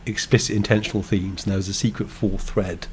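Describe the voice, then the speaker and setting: An English man co-hosting a podcast, talking thoughtfully in halting, restarting sentences. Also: on the low side, steady tone, slight background noise. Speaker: podcast co-host, game designer. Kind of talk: casual chat.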